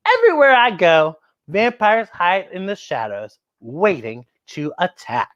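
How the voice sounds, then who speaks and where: A woman hosting a children's story time, excitable and playful, with exaggerated expressive storytelling. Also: on the low side, unhurried, lo-fi sound.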